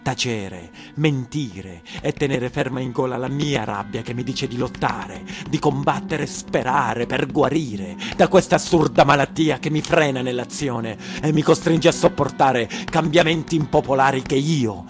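A man's voice: medium pitch, unhurried, few pauses. A male stage actor narrates in a declamatory, dramatic way. His delivery is emphatic and scripted for theatre, with music running faintly behind the voice.

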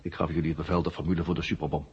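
A man playing an ancient, worn-out war machine brain in a 1970s radio drama, weary and forgetful, in flat, halting, repetitive statements. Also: rumbling low, slight background noise. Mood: weary, explaining. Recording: muffled old radio drama recording.

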